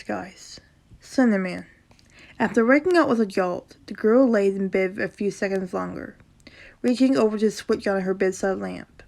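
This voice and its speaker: A woman with a sore throat and a slight rasp, casually reading a horror story aloud, often stumbling over words and correcting herself. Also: mid-range voice, a little mellow. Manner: reacts casually between passages.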